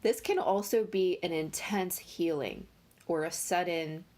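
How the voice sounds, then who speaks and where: A woman giving a casual tarot reading, talking in a nasal, congested voice with many pauses and fillers. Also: lowish voice, darkish tone. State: congested from allergies. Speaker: tarot reader.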